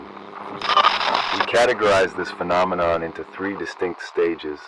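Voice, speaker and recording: A man acting as an official emergency announcer with a low, grave voice. He delivers scripted analog horror warnings in a formal, measured and ominous way. A faint layer of noise sits under the broadcast. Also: a bit bright, low-quality recording.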